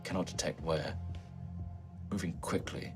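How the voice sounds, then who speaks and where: A man voicing a disembodied ship's computer, measured, polite and deadpan, in a tabletop game. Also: low-pitched, soft background music. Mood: flat, apologetic. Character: calm, apologetic ship computer, a disembodied artificial intelligence.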